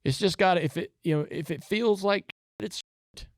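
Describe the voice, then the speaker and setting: A man's voice: high-pitched, normal speed, no room sound, clean studio sound. A man with a Southern US accent chatting casually about racing as a podcast host, in a rambling, folksy, easygoing way.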